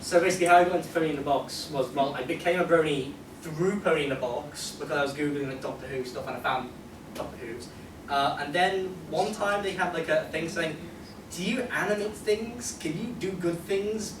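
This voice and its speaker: A young British man with a fairly high voice chats casually and jokes at his own expense. The recording is distant and echoey, with clear noise behind him. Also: fairly quick. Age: young adult. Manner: jokey, self-deprecating, informal. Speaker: convention panelist, amateur animator. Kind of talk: casual unscripted panel chat. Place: convention panel room, indoors. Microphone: distant camera microphone. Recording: echoey, noisy room, distant microphone.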